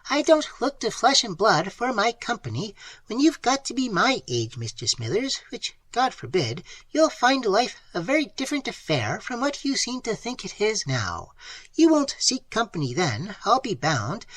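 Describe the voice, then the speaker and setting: A man voicing a sour, secretive old woman with a croaking voice, in an audiobook reading. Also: sits high, wildly swooping pitch, moderate pace, bright, forceful tone, dry recording, pitched above the performer's own voice, heavily acted, low-quality recording. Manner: sour, prying, confidential. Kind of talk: audiobook character voice.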